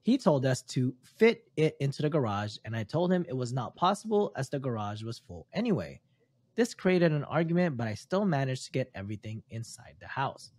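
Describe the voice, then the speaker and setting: A man's voice: medium pitch, big pitch swings, a little fast, a little mellow, no echo. A man who is not a native English speaker reads a story aloud for a podcast, stumbling now and then on his words. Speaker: podcast host reading stories.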